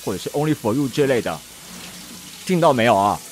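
A man's voice: mid-range voice, animated, brisk, a little hiss or hum, natural voice. A man with a Taiwanese Mandarin accent playing a stubborn, prickly, easily flustered middle-aged man in an audio drama. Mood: bossy warning. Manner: tsundere, scolding, flustered.

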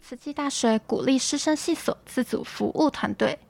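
A young woman reads scripted news in Taiwanese-accented Mandarin. Her voice sits a little high. The sound is very dry, with no room around it. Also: normal speed, gravelly, darkish tone, dry recording. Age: young adult. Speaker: student news presenter. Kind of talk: scripted campus news reading.

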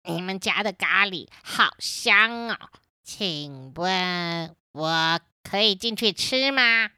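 A woman voicing a hungry but polite and gentle big bad wolf in a children's radio play, speaking slowly and full of wonder. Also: mid-range voice, lively intonation, clear, resonant tone, dry recording, clean studio sound, full character voice. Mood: polite, pleading.

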